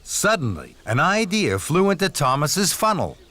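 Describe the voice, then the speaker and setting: A man narrating a scripted children's story in an expressive storytelling manner. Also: a little high, normal speed. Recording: music under the voice.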